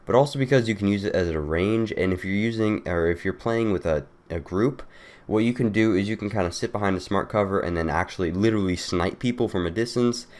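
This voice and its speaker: Low-voiced, congested young man. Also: brisk, darkish tone. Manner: rambling, loose, many fillers and restarts. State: congested, nasal from a cold. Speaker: gaming YouTuber. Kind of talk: casual game guide walkthrough.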